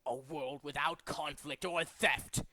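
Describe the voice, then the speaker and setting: Male actor as pompous game villain, zealous doomsday leader; forceful, sharp tone, close dry sound. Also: fairly high pitch, fairly even intonation, no echo, heavily acted. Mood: grandiose, grim. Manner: deliberate, dramatic, pompous declaiming. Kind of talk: theatrical video game character demo reel.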